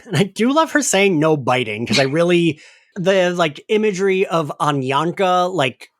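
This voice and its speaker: A man hosting a podcast recap in a casual, giggly, animated way, with the odd swear word. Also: high-pitched, normal speed, dry recording, clean studio sound. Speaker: podcast host.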